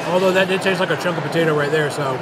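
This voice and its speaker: A man giving a relaxed, chatty food review while he eats in a buffet restaurant. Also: on the high side, rapid-fire, very noisy. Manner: relaxed, chatty, thinks aloud between bites. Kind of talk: casual on-camera food review. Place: busy casino buffet restaurant indoors. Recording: loud crowd chatter and clatter around. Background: loud diners and dish clatter.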